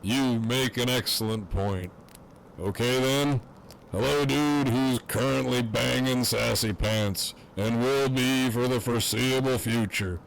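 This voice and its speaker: Male actor as swaggering prisoner, crude wit, wry teasing, gravelly fry, faint noise, audio drama. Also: medium pitch, a little slow, poor audio. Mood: sardonic. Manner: wry, swaggering, foul-mouthed banter. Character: cocky, crude, teasing imprisoned man on a walkie-talkie. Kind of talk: fan audio drama acting.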